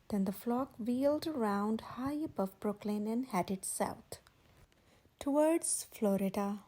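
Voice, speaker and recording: High-pitched, mellow woman reading a children's story. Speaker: children's story channel host and reader. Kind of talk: scripted read-aloud narration of a picture book.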